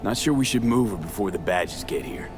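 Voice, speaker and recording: An actor plays a tough, steady male mercenary with a very low voice. He talks in short, matter-of-fact phrases in naturalistic game style, over clearly audible music. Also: a little fast, natural voice. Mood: calm, practical. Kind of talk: naturalistic video game acting. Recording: music playing behind the voice.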